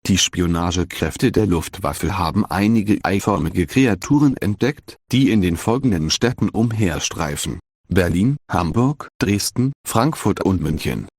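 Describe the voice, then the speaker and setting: Male government announcer, deep, husky, stern, formal emergency broadcast, dry sound. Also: a little mellow, dry recording, full character voice.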